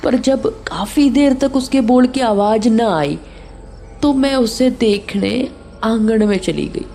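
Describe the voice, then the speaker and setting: A woman playing a remorseful older caretaker, halting and sorrowful in an audio horror drama. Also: on the high side, dark tone, faint music behind. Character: remorseful older female caretaker confessing a terrible mistake.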